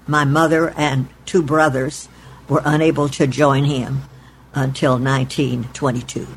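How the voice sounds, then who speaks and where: A woman in her eighties from Oklahoma, recalling her family's past in a measured, deliberate way in her apartment. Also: a bass voice, little pitch movement, slight background noise, rough recording. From Oklahoma, United States. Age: 80s. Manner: measured, deliberate, spells out names letter by letter. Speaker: oral history interviewee. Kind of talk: recorded oral history recollection. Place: her apartment in a retirement home.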